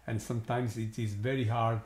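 A man with an Italian accent, his first language Italian, hosting a live astronomy stream and commenting slowly with many pauses and fillers, sounding amazed. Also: mid-range voice, level tone, a little mellow, reverberant. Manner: slow, halting, many fillers, awed.

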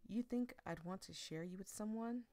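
A woman voicing a tender, teasing young boyfriend in a visual novel, gently and reassuringly. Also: medium pitch, moderate pace, no room sound, close to an everyday voice. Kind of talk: visual novel read aloud.

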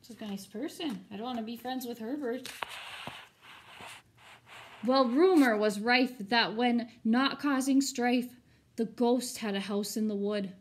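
A woman's voice: fairly high pitch, a little slow, a little hiss or hum. A woman teacher reading a picture book aloud expressively, with informal, chatty asides for children.

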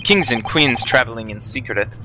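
A man's voice: mid-range voice, moderate pace, background noise, natural voice. A man voicing a huge, grumpy male ship's crewman in a mock Russian accent, speaking in broken English. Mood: gruff.

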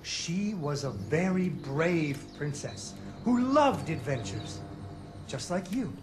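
A woman's voice: deep voice, big pitch swings, takes it slowly, over music, heavily acted. A woman voicing a young girl who narrates a game's storybook tale in a playful, sing-song way. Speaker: game narrator.

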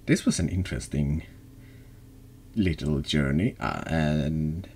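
A man's voice: extremely low pitch, animated, slow, a little mellow, breathy edge. A man streaming a horror text game, reading dramatically or chatting casually.

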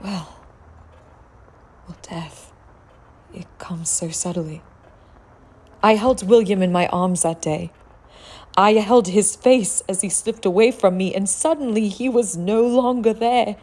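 Actress as a breathy young grieving noblewoman. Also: mid-range voice, measured pace, long pauses, darkish tone, natural voice. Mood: anguished. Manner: formal, breathy, theatrical. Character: young grieving noblewoman, genteel and desperate widow. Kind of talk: gothic audio drama acting.

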